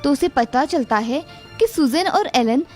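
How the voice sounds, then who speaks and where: A woman narrating an animated children's story in a steady, clear storytelling manner. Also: high voice, unhurried, faint music behind.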